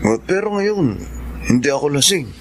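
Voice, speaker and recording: A man's voice: on the high side, very animated, normal speed, noisy background. An actor playing an earnest, emotional young man, a younger brother, in a Filipino radio drama. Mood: sincere, apologetic. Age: young adult. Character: hurt, sulky young younger brother, later humbly repentant.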